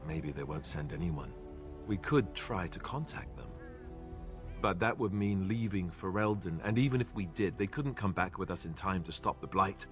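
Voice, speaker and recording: Sarcastic young warrior sidekick in fantasy game, lowish voice, music behind. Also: male voice, expressive, normal speed. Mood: earnest, worried. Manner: wry, sarcastic. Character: young male warrior companion, wry, sarcastic, earnest. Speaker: video game voice actor.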